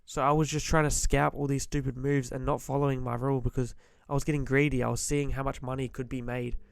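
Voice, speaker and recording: A man who is a bit sick and slightly hoarse, giving blunt, emphatic trading-psychology advice and clearing his throat often. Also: mid-range voice, level tone, fast talker, no echo. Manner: direct, emphatic, repeats key points. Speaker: forex trader giving advice. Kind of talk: motivational trading-psychology talk to camera.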